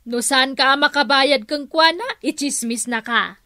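A woman's voice: high voice, unhurried, bright, forceful tone, no echo, studio quality. An actress playing a plain-spoken, gossipy village neighbour woman in a radio comedy drama. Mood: gossiping.

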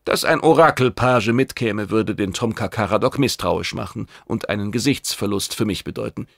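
A man's voice: on the low side, moderate pace, creaky, fairly bright tone, no room sound, clean studio sound. A man voicing a brash, domineering alien warship commander in a science fiction audiobook, blunt and commanding. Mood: curt, dismissive.

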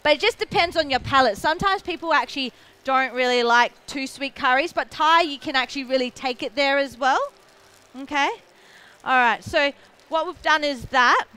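A woman's voice: high-pitched, moderate pace, pushed and bright. A young woman with an Australian accent explaining a recipe step by step on a food show stage. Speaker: cooking demonstrator. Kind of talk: live cooking demo.

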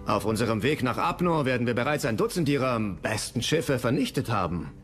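A man's voice: mid-range voice, very animated, a little fast, fairly bright tone, soft background music. An actor playing a resolute, persuasive Dutch statesman in a history docudrama, assured and commanding. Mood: confident. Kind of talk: dramatised history documentary dialogue.

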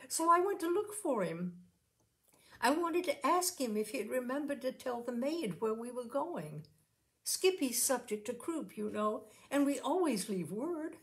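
A woman reading a story, voicing an upset young wife who is hurt and indignant, in a high, tearful, exclaiming way.

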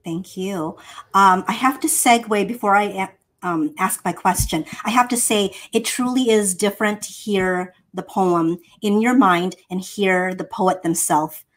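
A woman's voice: medium pitch, unhurried. A middle-aged woman hosting a poetry interview on a video call, praising the poem and asking questions with many fillers. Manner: effusive praise, hesitant with fillers. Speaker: host. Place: home room.

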